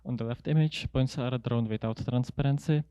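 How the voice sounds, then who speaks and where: A young man giving a prepared academic talk as a student researcher, explaining in a measured, somewhat halting way. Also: medium pitch, mellow, smooth, dry recording. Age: young adult. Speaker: student researcher presenting a paper. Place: indoor seminar room at a lectern. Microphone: handheld microphone close to mouth.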